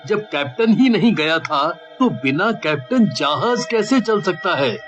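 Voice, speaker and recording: A man voicing a worried, earnest young village man in an animated cartoon story. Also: high-pitched, measured pace, dull audio, faint music behind. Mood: suspicious, worried.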